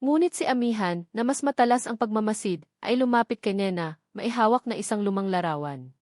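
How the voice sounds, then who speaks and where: A woman's voice: mid-range voice, expressive, brisk, darkish tone, no room sound. Filipino woman narrating a story, dry sound. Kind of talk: scripted romance story narration.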